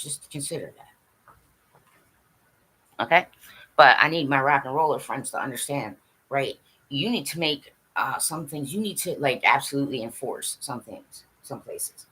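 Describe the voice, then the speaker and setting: A woman's voice: low voice, pushed and bright, breathy edge, rough recording. A woman talking straight to her viewers in a scattered, blunt livestream monologue, swearing and laughing as she goes. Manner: addresses the audience directly, asks for agreement. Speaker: livestream host.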